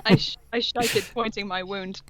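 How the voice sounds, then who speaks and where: A woman's voice: mid-range voice, steady tone, moderate pace, background noise. A woman co-host reading fan fiction aloud in a comic dramatic style, mock-serious and amused, with casual sweary asides.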